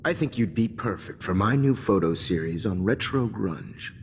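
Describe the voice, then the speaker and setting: A sneering, seductive photographer from a video game. His voice is low and very animated, with a creepy mocking manner, ringing in a long reverb. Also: normal speed. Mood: smooth flattery. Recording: long reverb, faint noise.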